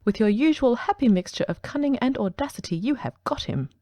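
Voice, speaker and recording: A woman voicing a brilliant male detective who speaks in a brisk, precise, ironic way, in an audiobook reading. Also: fairly high pitch, lively intonation, dark tone, no room sound, lightly acted.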